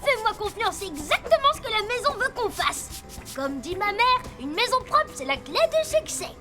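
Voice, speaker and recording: A woman's voice: very high-pitched, sing-song, normal speed, loud music behind the voice. A woman voicing an eager, plucky schoolboy in a French cartoon, exclamatory and earnest. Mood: excited, confident. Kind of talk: French animated series dubbing.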